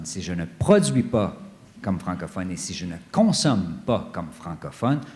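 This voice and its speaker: A middle-aged man giving earnest, emphatic panel remarks, often pausing and hesitating. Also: low-pitched, darkish tone. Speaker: panelist at a study day; director general of an association. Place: university conference room. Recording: echoey conference hall with long reverb.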